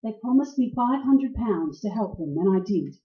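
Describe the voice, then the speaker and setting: A woman's voice: mid-range voice, expressive, normal speed, reverberant, muffled recording, lightly acted. A woman playing a seductive, deceitful femme fatale in a radio play, with a melodramatic, pleading manner. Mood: evasive. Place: live radio play performance in a roomy space.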